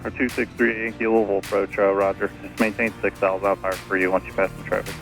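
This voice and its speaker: A man's voice: lowish voice, level tone, fast, fairly bright tone. A man giving clipped, matter-of-fact air traffic control instructions over aviation radio. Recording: aviation radio with music behind.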